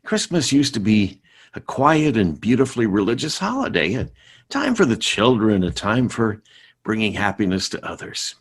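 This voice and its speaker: Casting: middle-aged American sitcom dad, indignant and nostalgic, rough-edged voice, big swings in intonation. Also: male voice, lowish voice, big pitch swings, normal speed, full character voice. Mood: wistful indignation. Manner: stammering, indignant, sarcastic. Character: exasperated middle-aged father nostalgic for simple Christmases. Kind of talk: live radio sitcom acting.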